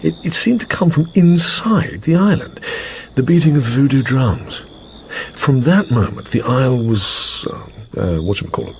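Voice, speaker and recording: An actor playing a British intelligence officer in a radio drama, briefing an agent in hesitant, clipped upper-class phrases. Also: male voice, medium pitch, expressive, moderate pace, close to an everyday voice. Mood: grave, dramatic. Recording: faint background noise and ambience.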